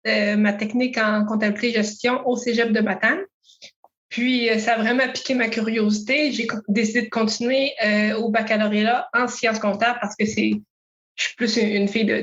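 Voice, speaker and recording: Young Québécoise guest, higher voice, muffled lo-fi audio, hesitant speech. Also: female voice, little pitch movement, normal speed, a bit bright. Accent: Québécois French. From Quebec. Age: young adult. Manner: many fillers, rephrasing, thoughtful. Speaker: accounting student guest. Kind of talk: casual interview answers.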